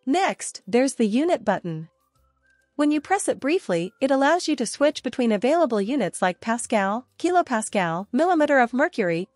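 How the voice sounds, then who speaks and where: High-voiced woman reading a product demo. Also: dry recording, clean studio sound. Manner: steady, even reading of long spec lists. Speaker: product reviewer. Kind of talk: scripted product narration.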